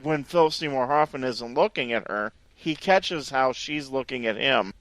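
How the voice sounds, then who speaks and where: A man's voice: mid-range voice, moderate pace, fairly bright tone, dry recording, poor audio. A man in a casual film review chat, making his points emphatically and with conviction. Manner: emphatic, sure of his reading. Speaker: podcast co-host.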